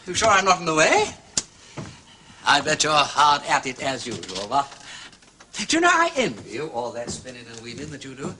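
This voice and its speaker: A breezy English aristocrat, effusive and talkative, with an upper-class accent. His voice is bright and leaps around in pitch, in radio drama style over a faint hiss. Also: wildly swooping pitch, normal speed, heavily acted. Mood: jovial, effusive. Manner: effusive, affectedly jolly and chatty.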